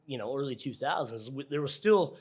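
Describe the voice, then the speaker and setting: A man's voice: medium pitch, animated, a little fast, husky. A middle-aged American man giving casual, informal interview answers, chuckling and acting out bits of dialogue as he explains. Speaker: air traffic controller, interview guest.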